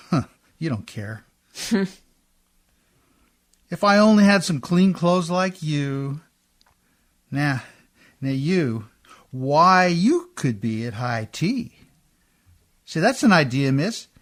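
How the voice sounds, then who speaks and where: Slow, grumbling hard-drinking riverboat man, acted by a man. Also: on the high side. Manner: uneducated, folksy, grumbling speech. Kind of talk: radio play acting.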